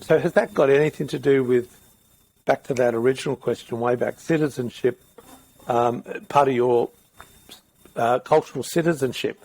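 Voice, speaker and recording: A man's voice: medium pitch, steady tone, normal speed, vocal fry, darkish tone, low-quality recording. A middle-aged man, hosting a podcast interview in a rambling, thinking-aloud way. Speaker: podcast host. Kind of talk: casual interview.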